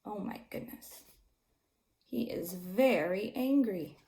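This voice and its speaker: Very animated woman reading to young children. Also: mid-range voice, slow pace, vocal fry, echoey room. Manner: simple, emphatic phrasing for small children. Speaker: teacher reading aloud to children. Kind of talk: expressive storybook read-aloud for young children.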